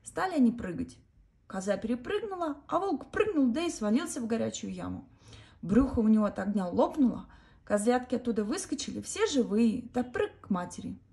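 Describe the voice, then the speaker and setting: A woman with a notably high voice reads a folk tale to children at bedtime. Her delivery is gentle and even, a calm storyteller's pace. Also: fairly quick, gravelly. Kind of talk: bedtime fairy-tale reading.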